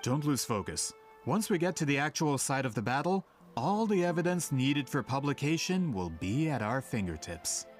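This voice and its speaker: An actor playing a courteous, earnest scholar leading an expedition in an anime video game. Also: male voice, mid-range voice, very animated, moderate pace, faint music behind, lightly acted. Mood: polite, focused.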